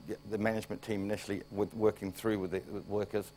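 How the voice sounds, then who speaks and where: A man's voice: lowish voice, little pitch movement, fast, warm tone, a little hiss or hum. New Zealand man with a cold presenting. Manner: wry, plain-spoken, restarts phrases. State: coming down with a cold. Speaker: plant director. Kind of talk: conference presentation.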